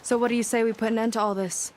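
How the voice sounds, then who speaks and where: An actress playing an earnest, protective warrior ally with measured delivery in a video game scene. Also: female voice, on the high side, steady tone, darkish tone, natural voice. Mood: resolute. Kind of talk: naturalistic video game cutscene acting.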